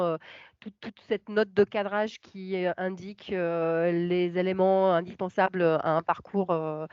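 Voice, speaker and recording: A woman's voice: lowish voice, darkish tone, clear, resonant tone, no room sound. A woman giving explanatory answers as a coordinator on a webinar. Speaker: cultural project coordinator, panel speaker. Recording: dull, lo-fi online call audio.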